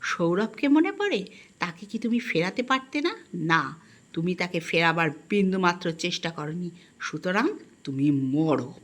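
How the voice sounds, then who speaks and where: A woman reads a first-person literary story in an expressive, melancholic and dramatic manner. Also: on the low side, unhurried. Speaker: audiobook narrator.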